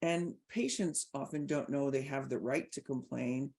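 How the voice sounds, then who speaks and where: Woman, very low creaky voice, podcast host, articulate, emphatic, conversational. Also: moderate pace, a little mellow. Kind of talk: conversational interview commentary.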